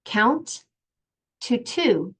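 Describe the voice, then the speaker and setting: An older woman patiently teaching young children in simple, step-by-step phrases, in the gentle style of a preschool lesson. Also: on the high side, sing-song, drawn-out words, vocal fry, slightly rough. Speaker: children's sign language and song teacher.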